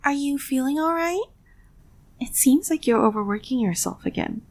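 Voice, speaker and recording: Woman as a fairly high, matter-of-fact pony nurse. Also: expressive, normal speed. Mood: playful concern. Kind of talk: dramatic fan fiction audio reading.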